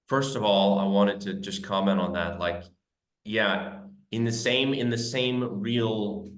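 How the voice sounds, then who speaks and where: A man, a guest author, chatting loosely in an interview and filling his speech with "like" and "you know". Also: low voice. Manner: loose, conversational, heavy use of "like". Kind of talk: casual interview chat. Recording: roomy echo.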